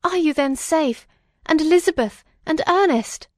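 A woman's voice: on the high side, moderate pace, dry recording, studio quality, lightly acted. A woman voicing an anguished, feverish young man in a storybook, exclamatory and melodramatic. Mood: anxious relief.

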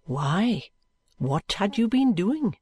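A woman voicing an earnest, patient gentleman in an audiobook, with a gentle, deliberate, coaxing manner. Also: medium pitch, very animated, slightly rough, dry recording, voice pushed up, full character voice.

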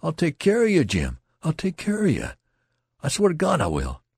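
A man's voice: a little high, huge pitch swings, moderate pace, darkish tone, slightly rough, no echo, studio quality, pitched above the performer's own voice, heavily acted. A man voicing a hysterical, anguished teenage boy soldier who stammers and cries out. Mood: frantic, pleading. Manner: stammering, crying out.

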